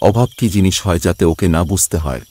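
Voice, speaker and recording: Man acting a low-voiced weary village father. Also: lively intonation, quick pace, warm tone. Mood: earnest, determined. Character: weary, devoted village labourer father with back pain. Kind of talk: cartoon voice acting.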